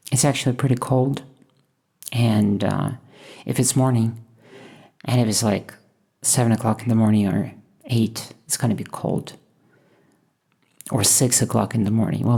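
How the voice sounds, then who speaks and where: A woman from Russia, thoughtfully talking over her painting process in a hesitant, musing way with many pauses. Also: extremely low pitch, gravelly, warm tone, breathy edge. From Moscow, Russia. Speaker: painter and channel host. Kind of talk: reflective voice-over while painting.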